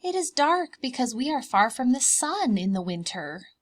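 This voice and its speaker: A woman voicing a gentle, reassuring mother polar bear in a storybook, patient and soothing. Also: on the high side, very animated, normal speed, pitched above the performer's own voice. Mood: gentle reassurance.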